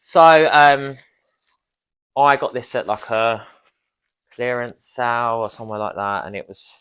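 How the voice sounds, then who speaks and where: A man's voice: mid-range voice, measured pace, fairly bright tone, clean tone, dry recording, low-quality recording. Rambling man, dry close sound. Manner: rambling, with many likes and restarts. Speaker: interview guest. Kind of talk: casual chat.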